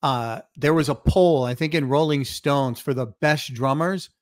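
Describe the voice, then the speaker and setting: Middle-aged male podcast host, a touch higher than usual, easygoing and conversational, close-miked. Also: measured pace, no room sound. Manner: easygoing, frequent short backchannels. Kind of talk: casual interview chat. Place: home room with posters, video call. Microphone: studio mic on a boom, close.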